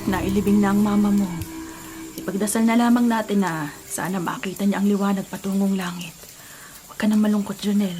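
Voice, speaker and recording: A woman's voice: mid-range voice, fairly even intonation, fairly quick, mellow, soft background music, close to an everyday voice. A woman with a Filipino accent playing a caring, protective aunt in a radio drama, with a concerned, motherly delivery. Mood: gentle.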